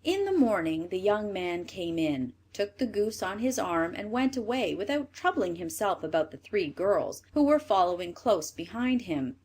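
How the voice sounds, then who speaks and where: A woman narrates a children's fairy tale with a very animated, swooping pitch. Her voice sits at a middling height for a woman. The delivery is scripted and expressive, as a storyteller reading aloud. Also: normal speed, few pauses, breathy edge.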